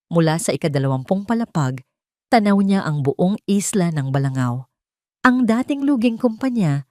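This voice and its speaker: A woman narrates a melodramatic audio story in short, punchy sentences. Her voice sits somewhat low, and the recording is dry and close, with no room sound at all. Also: darkish tone, dry recording. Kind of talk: scripted audio drama narration.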